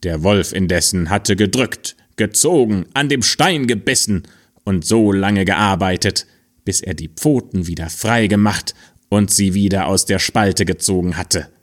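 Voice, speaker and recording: A man reading a fairy tale aloud in a slow, expressive storytelling manner. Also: deep voice.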